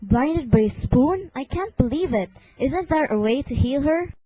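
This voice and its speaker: A stubborn, pleading parent, acted by a young woman in an amateur radio drama. The voice is moderately high and keeps insisting. The recording sounds muffled. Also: expressive, measured pace, warm tone, no room sound. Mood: disbelieving. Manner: insistent, argumentative. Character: stubborn, desperate, argumentative parent of patient. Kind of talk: student radio drama acting.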